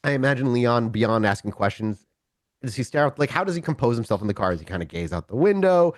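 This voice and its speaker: A man narrating a tabletop roleplaying game as storyteller, in a descriptive, deliberate manner. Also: mid-range voice, animated, dry recording, clean studio sound.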